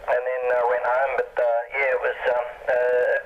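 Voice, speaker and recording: An Australian man speaks in a laid-back, halting way over a ham radio, closing his turns with "over". His mid-pitched voice comes through dull, muffled repeater audio. A faint hiss runs underneath. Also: completely flat delivery. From Perth, Western Australia. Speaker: amateur radio operator. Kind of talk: casual radio chat.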